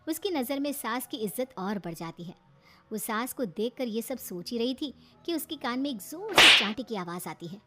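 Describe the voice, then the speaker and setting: A woman's voice: on the high side, expressive, moderate pace, noisy background. A woman narrating a scripted moral family story as its storyteller.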